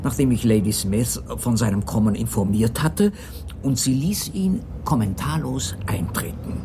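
An actor playing a prim, dutiful sanatorium employee who speaks formally and fussily in a radio crime play. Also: male voice, medium pitch, a little slow, noisy background, close to an everyday voice. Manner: formal, fussy, easily affronted.

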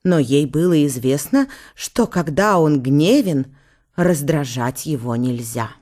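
A woman's voice: low voice, lively intonation, unhurried, warm tone. A woman giving a scripted literary narration in a radio drama.

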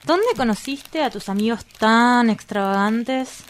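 A woman's voice: a little high, a little slow, lightly acted. A woman with an Argentine accent playing a prim, curious, sardonic woman in a radio drama, questioning and easily disgusted. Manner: questioning, disdainful, dry.

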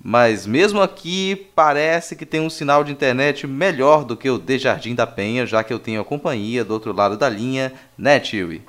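Brief: Brazilian male host, medium pitch, informal and jokey, slipping into deadpan asides. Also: normal speed, hardly any pauses. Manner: joking, mock-serious asides. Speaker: podcast host. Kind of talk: casual, playful podcast presentation.

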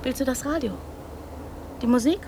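High-voiced, brazen little girl. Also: moderate pace, noisy background. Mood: matter-of-fact, defiant. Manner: blunt, childlike short sentences. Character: precocious, brazen young girl, talking of her parents. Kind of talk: radio drama dialogue.

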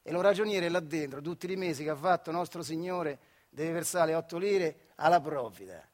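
Man, central Italian Marche accent, high-pitched, colloquial, animated theatrical storytelling, conversational asides. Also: brisk. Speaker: storyteller, stage actor. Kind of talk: theatrical monologue storytelling in dialect.

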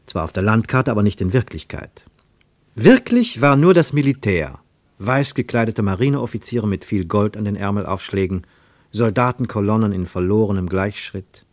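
A man narrating a literary radio play in a measured, precise, wry storytelling manner. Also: fairly low pitch, no echo, natural voice. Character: measured, wry male narrator of a travel tale.

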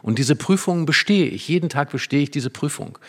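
A man's voice: fairly high pitch, expressive, brisk. A man in conversation, explaining a play as an expert guest with vivid storytelling and emphatic repetition.

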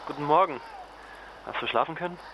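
An actor playing a weary, worried journalist husband in a naturalistic radio drama, hesitant and reluctant. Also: male voice, fairly high pitch, brisk, close to an everyday voice. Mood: weary, reassuring. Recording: dull lo-fi link, clearly noisy background.

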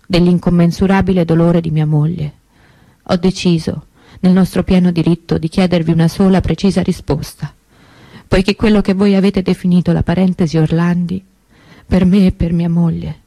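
Actress doing grieving sister of a missing girl, radio play monologue, soft dark tone, really intense, dry close sound. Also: fairly low pitch, fairly even intonation, vocal fry, dry recording, low-quality recording. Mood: solemn appeal. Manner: intense, emotive, with dramatic pauses and repetitions. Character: grieving sister of a vanished girl, anguished, stubbornly hopeful.

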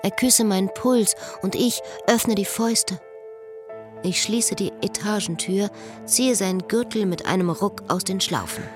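A woman's voice: mid-range voice, unhurried, over music, natural voice. An actress playing a lovesick, restless woman who speaks her inner thoughts in a radio drama. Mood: intimate, brooding.

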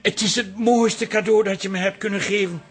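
An actor playing a doting, naive husband in a Dutch radio play, speaking earnestly and sentimentally. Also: male voice, high-pitched, steady tone, brisk, slightly rough, faint music behind. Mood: delighted, tender.

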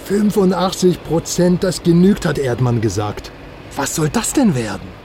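Brief: chatty excitable informant, higher voice, lively swings, music behind. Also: male voice, measured pace, slight background noise. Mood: puzzled. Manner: animated, talkative, excitable. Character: excitable male informant, chatty car fan. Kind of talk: radio drama acting.

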